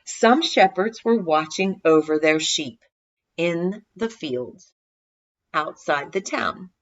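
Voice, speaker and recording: A woman storybook reader with a fairly low voice, narrating expressively for children. The recording is a little dull and lo-fi. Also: animated, slow pace.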